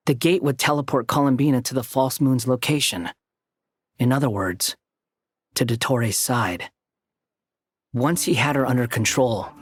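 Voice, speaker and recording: An actor playing a calm, analytical ally in a video game, with a husky voice, explaining things plainly. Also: male voice, mid-range voice, dry recording, studio quality.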